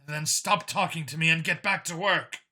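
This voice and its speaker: A man's voice: fairly high pitch, normal speed, sharp tone, voice pushed up. A man voicing a brusque female combat squad leader on comms in an audiobook. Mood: curt. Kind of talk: audiobook character voice.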